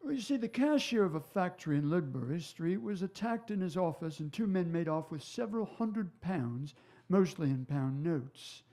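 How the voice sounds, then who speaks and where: A polite police inspector in a radio play. His voice is high for a man and mellow, with measured, deferential, apologetic phrasing. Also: animated. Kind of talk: stage radio drama acting.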